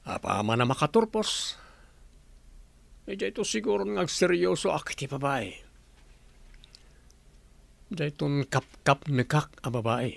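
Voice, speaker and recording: An actor playing a young womanizer in a radio drama, cocky but protective, with a creaky voice. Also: on the high side, expressive, a little slow, long pauses, no room sound, heavily acted. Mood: callous, unrepentant. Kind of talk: radio drama acting in Ilocano.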